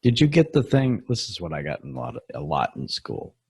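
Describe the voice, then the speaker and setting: A man's voice: deep voice, wildly swooping pitch, brisk, a little mellow, husky, no room sound, clean studio sound. A man hosting a podcast interview, chatting casually and laughing often. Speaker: podcast host.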